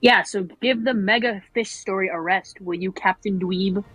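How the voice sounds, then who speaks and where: A woman's voice: medium pitch, moderate pace, fairly bright tone, husky, faint music behind, low-quality recording. A woman voicing a sarcastic, easily annoyed young girl with a dry, scornful manner in an amateur cartoon read.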